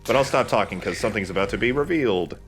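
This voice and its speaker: A man's voice: lowish voice, expressive, fast talker, faint music behind. A man doing informal, opinionated commentary over a video game as a let's player.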